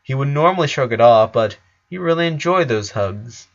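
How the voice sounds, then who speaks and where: A man's voice: mid-range voice, lively intonation, moderate pace, creaky. A man reading a story aloud as a scripted narration, on a slightly dull recording. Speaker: fan fiction reader.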